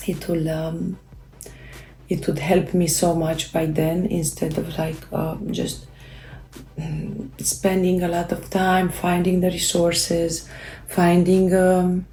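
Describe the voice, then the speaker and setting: Woman, Romanian native speaker, halting with fillers, gravelly low voice, warm, echoey room. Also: level tone. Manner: halting, many fillers and restarts. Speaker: self-help creator. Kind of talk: casual motivational talk.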